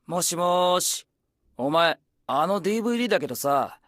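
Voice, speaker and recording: Brash, animated young man. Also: high voice, racing, faint music behind. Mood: cocky, flustered. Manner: cocky, grumbling, rough casual speech. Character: brash, proud young man, flustered and bossy. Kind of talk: anime-style phone call drama.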